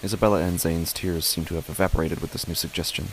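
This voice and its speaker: A man playing an uneasy father who narrates his own horror story in a deliberate, measured way with dramatic pauses. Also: low-pitched, fairly even intonation, a little hiss or hum. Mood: grave, uneasy. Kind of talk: scripted first-person horror narration.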